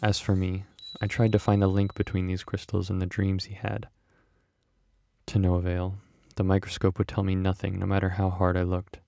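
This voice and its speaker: A man playing a brooding, obsessive doctor in a horror manga dub, speaking in a grave, detached, gravelly way. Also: low-pitched, completely flat delivery, moderate pace, mellow, no echo, studio quality. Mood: somber. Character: brooding, obsessive male doctor, coldly rationalizing human experiments.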